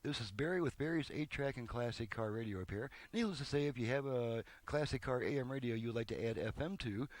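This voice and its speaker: A man's voice: medium pitch, fast talker, few pauses. A man giving a casual, hands-on demonstration as a repairman, explaining step by step in a plain-spoken, folksy way. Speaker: car radio repairman and presenter.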